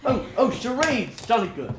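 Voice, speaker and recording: A man playing a jovial, slightly dim gentleman in a stage farce. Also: very high voice, sing-song, normal speed, heavily acted. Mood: jolly. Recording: echoey stage, loud background noise.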